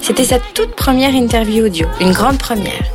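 A woman's voice: on the high side, moderate pace. Woman hosting a podcast, music behind. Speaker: podcast host and interviewer. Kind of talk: podcast intro and informal interview.

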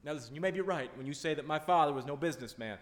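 A principled, defiant young small-town man, acted by a man in a radio play. His high voice is plain-spoken and impassioned, with long hall reverb around it. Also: rapid-fire, lightly acted. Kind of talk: live radio play acting. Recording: echoey hall, live stage performance.

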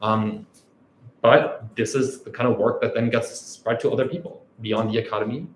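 A man from Canada speaks in a reflective scholarly tone, often checking in with "right?". His voice sits at a middle pitch. The room is echoey, with faint ambience behind him. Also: fairly even intonation, moderate pace, a little hiss or hum. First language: Urdu. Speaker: academic researcher. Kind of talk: scholarly talk.

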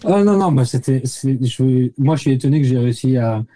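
Man, mellow dark timbre, casual anecdotes, slangy, hesitant word-searching. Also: mid-range voice, lively intonation, fairly quick. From Nancy, France. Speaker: podcast guest, expatriate living in the United States.

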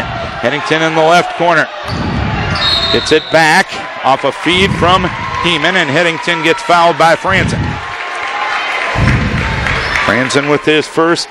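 A man calling live basketball play-by-play for radio, firing off rapid calls that rise to shouts on big plays, in a gym full of people. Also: on the high side. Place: high school gym with a crowd. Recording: loud crowd and gym noise. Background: crowd and gym noise.

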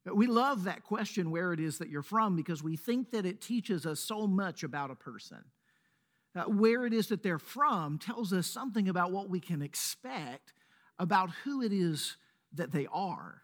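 A man with a Mississippi accent, a pastor leading a prayer and then preaching a sermon in a measured, earnest way. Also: sits high, lively intonation. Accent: Mississippi Southern. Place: church sanctuary.

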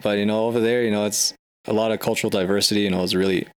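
A young man with a low voice talks easily and at length, filling his sentences with casual asides. His delivery is loose and conversational. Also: steady tone, rapid-fire, smooth. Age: young adult. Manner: chatty, leans on "you know". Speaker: band member. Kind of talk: casual interview answers.

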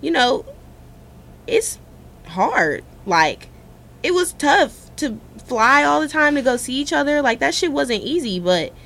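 A young woman from Cleveland co-hosting a talk show, chatting casually with lively, swooping pitch and frank, profane language. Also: unhurried. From Cleveland, Ohio. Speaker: co-host. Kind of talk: casual chat.

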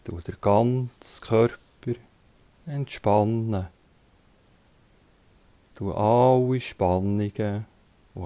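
A man with a Swiss German accent leading a guided relaxation as a yoga teacher, calmly giving short instructions with long pauses. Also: lowish voice.

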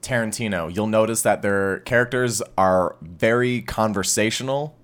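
A man's voice: fairly low pitch, normal speed. A man on a casual group panel, talking in a joking, self-deprecating way. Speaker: panel member.

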